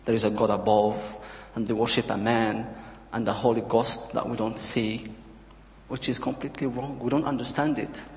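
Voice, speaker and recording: A man from Morocco with a Moroccan accent, giving a prepared personal testimony with halting, deliberate phrasing. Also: mid-range voice, little pitch movement, gravelly, slight background noise. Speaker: church member giving testimony. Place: large church room, speaking to a congregation. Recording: reverberant hall.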